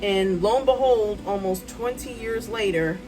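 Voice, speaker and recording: A woman in her thirties, a writer, chatting casually to her phone's front camera at home, loud-voiced and rambling with many ums and asides. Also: medium pitch, measured pace, over music, low-quality recording. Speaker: writer vlogger. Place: hot room at home with a fan.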